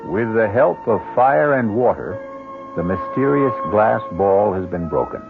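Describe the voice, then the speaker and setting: A man hosting a radio mystery with ominous, deliberate, dramatic scripted narration. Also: on the low side, animated, creaky. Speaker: host and narrator. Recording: muffled 1970s radio broadcast. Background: music.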